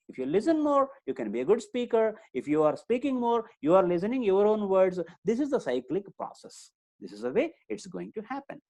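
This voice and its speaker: Lively male trainer. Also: high-pitched. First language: Telugu. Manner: repeats key points and quizzes the audience. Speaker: communication trainer. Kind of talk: live online lecture.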